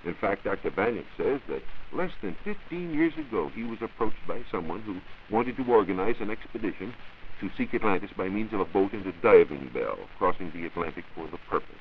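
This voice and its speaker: An American man giving a formal, measured science talk as a reporter in a scripted 1940s radio broadcast. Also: lowish voice, expressive. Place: radio studio. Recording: dull, hissy 1940s radio recording.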